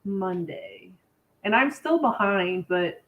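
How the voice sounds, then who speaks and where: A woman's voice: mid-range voice, animated, slow, phone-quality sound. An American woman chatting casually and enthusiastically as a livestream co-host, with a giggly, talkative manner. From Indiana, United States.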